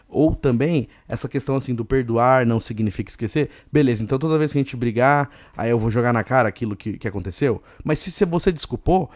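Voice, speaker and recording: A man with a Brazilian accent hosting a casual solo podcast, hoarse and chatty, giving listeners shout-outs. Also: mid-range voice, no echo. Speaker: podcast host.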